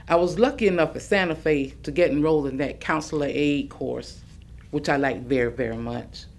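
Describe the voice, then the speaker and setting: A woman playing an elderly, plainspoken grandmother in a staged reading, reflecting on her life. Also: deep voice. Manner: storytelling, folksy. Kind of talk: dramatic staged reading of oral history.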